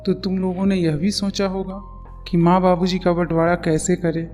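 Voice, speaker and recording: High-voiced, cold eldest son acted by a man. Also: flat delivery, normal speed, a little mellow, close to an everyday voice. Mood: stern, calculating. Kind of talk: Hindi moral-story cartoon dialogue. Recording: music behind the voice. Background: music.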